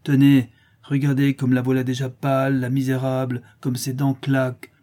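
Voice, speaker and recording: A man voicing a rough, bitter peasant milkmaid of forty in a storybook reading, coarse and accusing. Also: lowish voice, moderate pace, dark tone, lightly acted. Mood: bitterly ironic, threatening.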